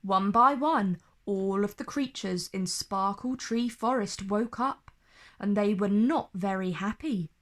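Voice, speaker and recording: A woman storyteller reading a children's book aloud in an expressive, careful, theatrical way. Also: medium pitch, slow pace.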